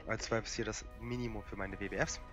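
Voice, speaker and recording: A young man with a cold, hoarse and coughing, casually commentating a strategy game with lots of fillers. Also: on the low side, fast, music in the background. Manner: relaxed and rambling, many fillers. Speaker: gaming channel host.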